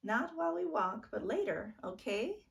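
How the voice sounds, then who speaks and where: A woman's voice: on the high side, sing-song, moderate pace, vocal fry, a bit bright, distant mic, pitched above the performer's own voice, heavily acted. A woman voicing a young girl, a responsible and bossy but caring older sister, urging firmly in a storybook.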